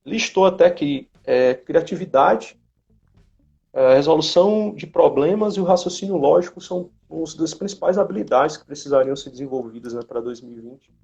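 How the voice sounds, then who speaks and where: Male host, creaky voice, hesitant conversational delivery, muffled live-stream sound. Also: a little high, moderate pace, a little mellow. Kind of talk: casual interview chat. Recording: dull lo-fi live-stream audio.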